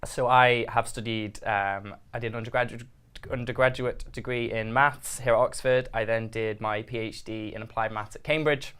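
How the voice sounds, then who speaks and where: A young man introduces himself on a studio panel with eager enthusiasm. His voice is smooth and clear at a mid pitch, picked up close by a lapel mic. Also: steady tone, normal speed, fairly bright tone. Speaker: maths tutor guest. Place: studio with balloons. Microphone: clip-on lapel mic.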